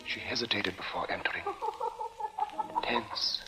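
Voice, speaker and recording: A man narrating scripted 1940s radio suspense in a measured, grave manner. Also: lowish voice, fairly even intonation, bright, forceful tone. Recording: muffled old radio recording with music.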